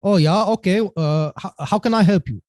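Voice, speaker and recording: A man voicing a friendly German shoe factory owner with a thick German accent, speaking in clipped, halting, agreeable phrases. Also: high-pitched, darkish tone, no echo, studio quality, voice pushed up, close to an everyday voice.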